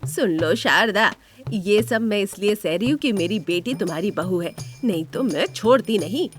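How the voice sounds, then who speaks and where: A stern older mother-in-law, voiced by a woman storyteller, scolds in a medium-pitched voice. She is quarrelsome and sarcastic, as in a family drama cartoon. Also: lively intonation, normal speed, faint music behind, lightly acted. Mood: combative.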